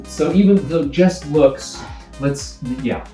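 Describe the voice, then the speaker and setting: A man giving informal director's notes to actors, halting and thinking aloud as he goes, sometimes acting out sample lines. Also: fairly high pitch, warm tone. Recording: roomy, distant mic, faint music.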